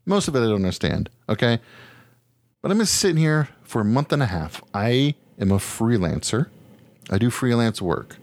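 A man's voice: medium pitch, very animated, moderate pace, darkish tone. A man co-hosting a podcast in casual, comedic chat, rambling and profane, often poking fun at himself. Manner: profane, self-deprecating, rambling. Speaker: podcast co-host.

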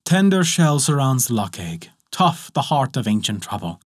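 A man's voice: medium pitch, very animated, normal speed, no echo, studio quality. A man reading a storybook, voicing the sighing leaves of a linden tree chanting a charm.

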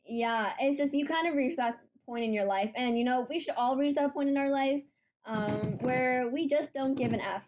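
Playful teasing woman host, higher voice. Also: normal speed, a little mellow, slight background noise. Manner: playful, teasing, laughs a lot. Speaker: podcast host. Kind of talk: casual chat.

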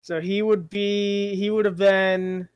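A man's voice: sits high, little pitch movement, takes it slowly, clean tone, no echo. A young man in a casual chat with friends, working things out aloud and second-guessing himself. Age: young adult. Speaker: guest friend answering questions.

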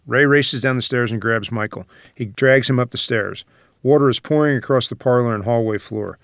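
A man's voice: mid-range voice, normal speed, a bit bright, no room sound, studio quality. A man reading out screenplay scene headings and stage directions as a scripted narrator.

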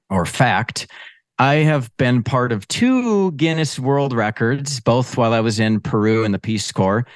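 A man telling a fun fact on a podcast panel, easygoing and unhurried. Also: mid-range voice, no echo. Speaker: panel guest. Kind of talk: casual panel introduction.

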